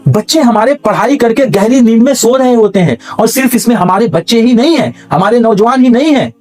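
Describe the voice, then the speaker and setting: A man's voice: very high-pitched, animated, brisk, darkish tone, soft background music. A man from India makes an earnest public appeal, emphatic and stacking his points in lists.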